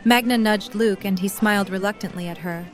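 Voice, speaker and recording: A woman's voice: mid-range voice, a little fast, soft background music. A woman narrating a fan audio drama, reading scripted story prose.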